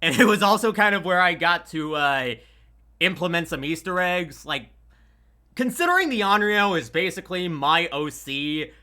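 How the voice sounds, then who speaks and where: Man, very bright forward voice, fairly high pitch, casual chat, halting and rambling delivery. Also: animated. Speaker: audio drama voice actor and editor.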